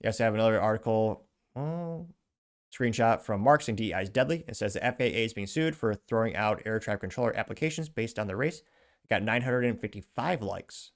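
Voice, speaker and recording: A man's voice: on the low side, animated, brisk. A man hosting his own show, reading social media posts aloud with chatty asides in casual news commentary. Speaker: show host.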